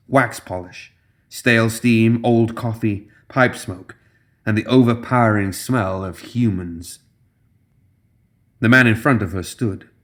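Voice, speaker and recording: A man reading scripted fantasy fiction as an audiobook narrator. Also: on the low side.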